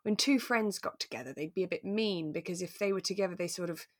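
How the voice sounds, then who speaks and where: A woman in relaxed podcast conversation, musing and thinking aloud as a co-host discussing a drama. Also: lowish voice, quick pace, dry recording, clean studio sound.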